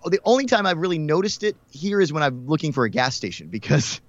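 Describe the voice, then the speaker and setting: A man's voice: fairly high pitch, sing-song, fast talker, dry recording, muffled recording. A man from Houston, a country radio host being interviewed, talking in a friendly, rambling way with many fillers. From Houston, Texas. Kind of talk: casual interview chat.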